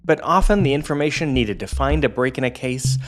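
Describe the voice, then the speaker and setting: A man playing a measured, informative narrator explaining police work in a scripted audio drama. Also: medium pitch, animated, music in the background, lightly acted. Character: matter-of-fact male law enforcement explainer.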